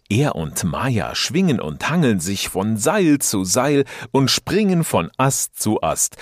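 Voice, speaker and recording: A man narrating a scripted children's story in an expressive, dramatic storytelling manner. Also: fairly low pitch, no room sound, studio quality.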